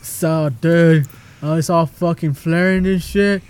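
A man's voice: on the high side, fairly even intonation, takes it slowly, darkish tone, clean tone. A man in casual, joking chat, swearing freely and laughing often.

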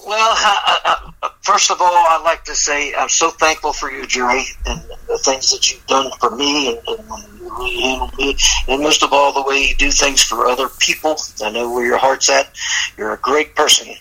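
An older man, a guest on a radio show, talking in a warm, stammering, affectionate way over a phone line. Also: medium pitch, normal speed, vocal fry, breathy edge. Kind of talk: casual radio chat.

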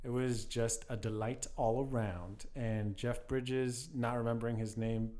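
Guy on a movie podcast, medium pitch, jokey casual chat, loose and informal. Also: fairly even intonation, a little slow, dark tone. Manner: informal, joking, sweary. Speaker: podcast co-host reviewing a film. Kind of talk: casual film review chat.

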